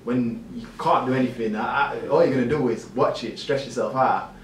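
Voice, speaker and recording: A young man in his twenties with a British accent, talking casually as a podcast guest and repeating his points in a relaxed way. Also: mid-range voice, brisk. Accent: British English. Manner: laid-back, repeats himself, says "innit". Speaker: guest, cryotherapy practitioner. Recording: echoey room, mic at a distance, faint noise.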